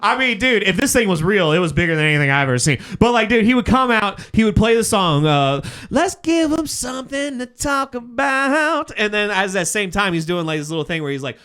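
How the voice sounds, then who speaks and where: A man's voice: sits high, expressive, a little fast, few pauses, a bit bright, smooth. A man chatting casually on a podcast, telling stories with slangy swearing and acting out bits of dialogue. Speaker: podcast host and comedy show runner. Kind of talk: casual podcast chat, animated storytelling.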